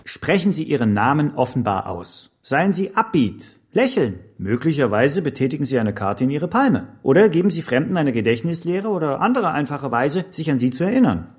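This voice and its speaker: A man playing a self-marketing coach who recites stilted, instructive pitch advice in a radio drama. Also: very animated, normal speed, hardly any pauses, husky.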